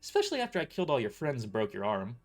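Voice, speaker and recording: A man's voice: mid-range voice, huge pitch swings, very fast, soft background music. A man voicing a tough, sardonic mercenary woman who is casual about violence, in an amateur audio drama. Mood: incredulous, casual. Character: tough, sardonic young tomboy mercenary woman working as waitress.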